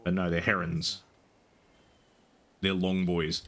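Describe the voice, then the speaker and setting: A young man with a soft, soothing voice, chatting casually while streaming a game, rambling with lots of ums and casual swearing. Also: deep voice, flat delivery, takes it slowly. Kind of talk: casual gaming stream chat.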